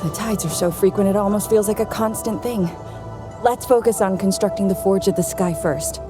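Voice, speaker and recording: An actress playing a composed, earnest woman researcher in a video game, measured and explanatory in delivery. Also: medium pitch, music in the background, close to an everyday voice. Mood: composed, businesslike. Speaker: game character.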